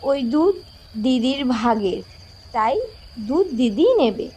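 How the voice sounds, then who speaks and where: A woman's voice: high-pitched, animated, takes it slowly, warm tone, a little hiss or hum. A woman voicing a naive, obedient little village girl in a cartoon, speaking simply and earnestly. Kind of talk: Bengali moral-tale cartoon voice acting.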